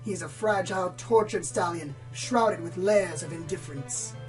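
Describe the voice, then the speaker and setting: A woman's voice: lowish voice, normal speed, soft background music, natural voice. Woman playing a bookish, prim pony reviewer. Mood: earnest, formal. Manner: formal, articulate, earnest. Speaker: on-air book presenter. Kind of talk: scripted review in radio play.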